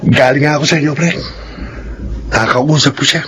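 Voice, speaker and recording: A man's voice: on the high side, fairly quick, a bit bright, slight background noise, lightly acted. An actor playing a blunt, serious friend of an accused man in a radio drama. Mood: grave. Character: frank male friend of a jailed criminal.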